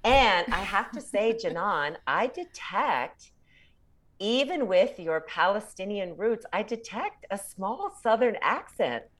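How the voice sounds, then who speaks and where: A woman's voice: medium pitch, big pitch swings, slow, a bit bright. A woman in her sixties, a podcast guest and author, speaking in a chatty, reflective way and laughing often. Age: 60s. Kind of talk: casual interview chat.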